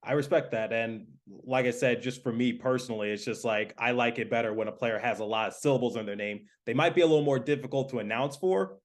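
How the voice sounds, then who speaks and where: A man's voice: medium pitch, fast talker, few pauses. A man hosting a sports podcast, interviewing in a casual, conversational way.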